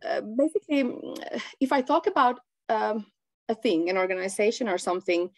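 A woman from Finland with Swedish as her first language and a Finland-Swedish accent, chatting haltingly as an interview guest on a video call. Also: mid-range voice, darkish tone, no echo. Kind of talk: casual conversational interview. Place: small room at home, indoors.

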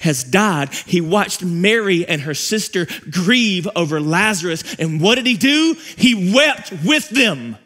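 Emphatic preaching man, higher voice. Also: a bit bright. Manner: emphatic preaching with repeated phrases and pauses. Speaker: pastor. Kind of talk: sermon. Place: large church stage with audience.

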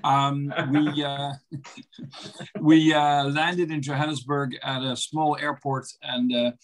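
Dutch male guest, 50s, webcam audio, slow hesitant delivery with fillers. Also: on the high side, level tone, rough recording. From Netherlands. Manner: measured, hesitant, many fillers. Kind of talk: video call interview. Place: office, video call. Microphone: webcam on a video call.

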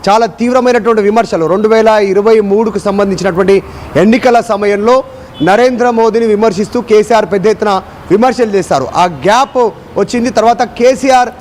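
High-pitched, fast-talking male news correspondent. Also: a little mellow, a little hiss or hum. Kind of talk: live explanatory news report.

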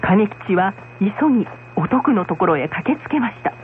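A woman's voice: mid-range voice, expressive, normal speed, noisy background. Woman narrating a folktale, muffled lo-fi sound.

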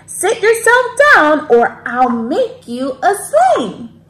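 A caring little storybook boy acted out by a woman, high-pitched and slow, with drawn-out, fussing politeness. The room sounds echoey. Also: big pitch swings, fairly bright tone, exaggerated voice. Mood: concerned, soothing.

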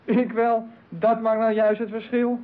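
Casting: courteous, slightly cheeky delivery man in radio drama; high pitch, narrow vintage sound. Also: flat delivery, a little slow. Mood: dryly teasing. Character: polite deliveryman from a marriage bureau. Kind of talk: Dutch-language radio play acting. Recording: noisy, tinny old radio recording.

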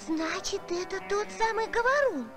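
A woman voicing a brave, curious, excitable little girl space traveller in an animated film, with an eager childlike manner. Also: extremely high pitch, moderate pace, over loud music. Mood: earnest. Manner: eager, bright-eyed, childlike. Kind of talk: Soviet animated film acting.

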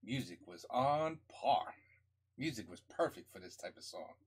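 A middle-aged man with a husky, slightly rough voice chats casually into a headset. His pitch swings up and down a lot as he rambles with enthusiasm and restarts his sentences. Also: lively intonation, a little slow. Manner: enthusiastic, rambling, repeats himself. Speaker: reaction video host. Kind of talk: casual spoken reaction.

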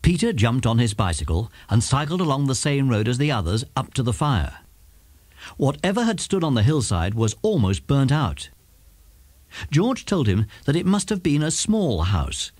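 Animated English man narrating, slightly husky. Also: mid-range voice, normal speed, no echo. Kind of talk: scripted children's story narration.

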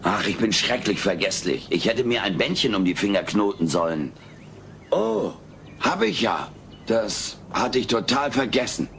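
Wisecracking, scheming alien, sitcom audio drama; lowish, animated, mock-innocent. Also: male voice, moderate pace, gravelly, full character voice. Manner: glib, theatrical, mock-innocent. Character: wisecracking, scheming furry alien houseguest, brash and cocky. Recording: noisy old cassette audio drama.

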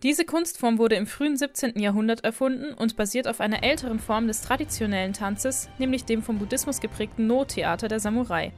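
A woman's voice: on the high side, brisk, hardly any pauses. A woman host narrating a scripted explainer video in a friendly way. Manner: friendly, apologetic about pronunciation. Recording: voice-over with faint background music.

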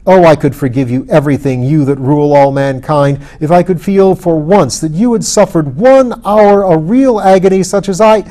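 A man's voice: on the high side, animated, moderate pace, warm tone, natural voice. A man reading a storybook, voicing a bitter, defiant anarchist who declaims with passion against the powerful. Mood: furious, cursing. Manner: impassioned, declamatory.

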